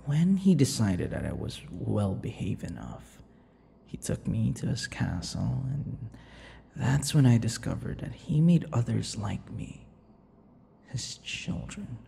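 Man acting a slow, gravelly brooding vampire. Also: on the low side, big pitch swings, slight background noise, heavily acted. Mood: wistful, bitter. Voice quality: breathy, gravelly, often dropping to a whisper. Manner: slow, halting, dramatic pauses and dark chuckles. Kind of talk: intimate ASMR roleplay monologue. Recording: long reverberant echo.

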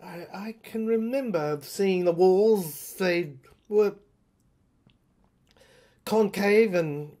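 Haunted, slow, halting narrator, acted by a woman. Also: mid-range voice, dark tone. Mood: uneasy, remembering. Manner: halting, stammering, repeating words. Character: haunted, agitated narrator recalling an uncanny asylum. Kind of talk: dramatic monologue in an audio play.

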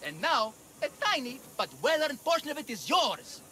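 A man's voice: very high voice, very animated, normal speed, sharp tone, slight background noise, exaggerated voice. An actor playing a jovial, greedy male arena showman in a video game, boisterous and salesy.

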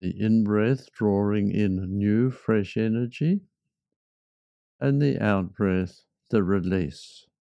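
A man leading a guided meditation in a measured, reflective manner with frequent pauses. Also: on the low side, vocal fry, warm tone, dry recording, dull audio.

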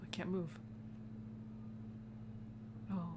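A woman's voice: very slow, a little mellow, slight background noise. A woman doing casual let's play commentary, chattily thinking aloud and reading game text out as she goes. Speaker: gaming video host.

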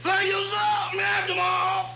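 A man acting a cheeky butler who puts on grand airs in a TV comedy sketch, with a gravelly, exclamatory delivery. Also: very high-pitched, monotone, roomy sound, heavy background noise. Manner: exclamatory, drawn-out comic delivery. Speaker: comic actor in a TV sketch. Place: TV studio set.

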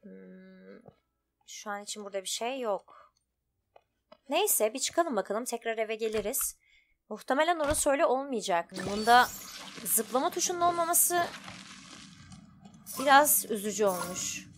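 A woman's voice: on the high side, animated, a little slow, pauses often, slight background noise. A woman giving casual, informal let's play commentary, thinking aloud as she goes. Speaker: gaming streamer.

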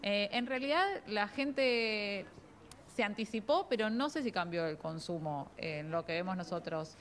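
A woman's voice: mid-range voice, moderate pace, slight background noise. A woman in her forties with a Rioplatense accent, a shop owner speaking by phone from a busy clothing store, hesitant with many fillers. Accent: Rioplatense Spanish. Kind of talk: live TV interview by phone. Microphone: phone held to ear.

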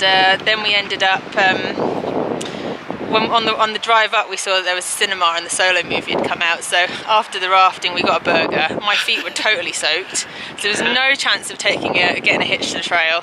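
Woman vlogging through loud wind. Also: medium pitch, fairly even intonation, fairly quick. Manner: informal, rambling storytelling. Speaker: hiking vlogger. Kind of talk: casual vlog recap chat. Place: outdoors on a mountain desert trail. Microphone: handheld camera at arm's length. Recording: windy outdoor camera audio, loud noise. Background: loud wind noise.

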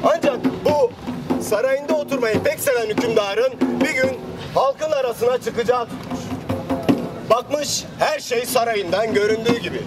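A man telling a fairy tale as street theatre, in a declamatory way with dramatic pauses and repetitions. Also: very high-pitched. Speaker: storyteller, street theatre performer. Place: outdoors on a city street. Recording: distant outdoor street recording under loud music.

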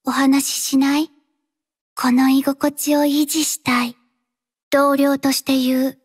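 High-voiced, slow-spoken reserved young mercenary. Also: female voice. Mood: soft, reserved. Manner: quiet, terse, halting, flatly earnest delivery. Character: reserved, dutiful young female mercenary robot-arm mechanic. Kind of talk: Japanese anime-style mobile game voice lines.

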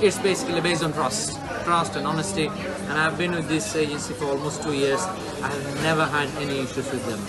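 A man from Bangladesh in his thirties, answering interview questions casually, hesitant with many fillers, seated indoors by a brick wall. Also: on the high side. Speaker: interviewee, client.

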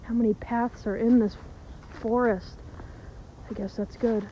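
A woman playing an anxious, foul-mouthed wanderer lost in a forest, muttering haltingly to herself in a found-footage horror drama. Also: a little high, steady tone, warm tone, natural voice. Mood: wary, frustrated. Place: outdoors in woods. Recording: lo-fi noisy camcorder tape audio.

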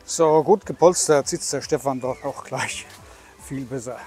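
A man's voice: mid-range voice, measured pace, darkish tone, soft background music. A man in his sixties from Germany chatting and explaining as he hosts a casual travel vlog. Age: 60s. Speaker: vlog host.

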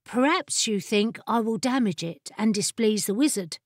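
Woman voicing a pert, higher-pitched little fire familiar. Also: lively intonation, moderate pace, no echo, studio quality. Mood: pert, reasoning. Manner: pert, articulate, storybook formality. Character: headstrong female fire-creature familiar, small, inquisitive and cheeky.

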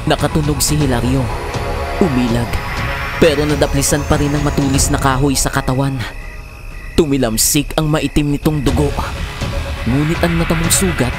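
Male horror story narrator over loud music. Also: fairly high pitch, steady tone, moderate pace, long pauses.

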